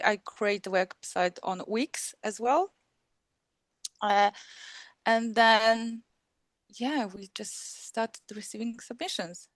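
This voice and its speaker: A woman with a Polish accent, talking as a guest about running a film festival, halting with many restarts and small laughs. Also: medium pitch, no echo. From Poland. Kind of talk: casual interview chat.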